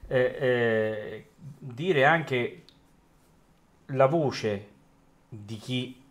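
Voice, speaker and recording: A man's voice: medium pitch, very slow. A man hosting an informal radio discussion, hesitant and searching for his words. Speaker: radio host.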